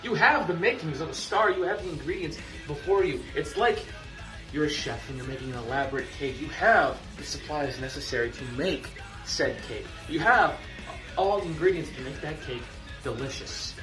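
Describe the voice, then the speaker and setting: A man's voice: animated, moderate pace. Taunting man in an echoey room. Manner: taunting, swings between loud bursts and low sneers. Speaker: wrestler cutting a promo. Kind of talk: trash-talking wrestling promo. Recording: echoey room, far from mic, music behind.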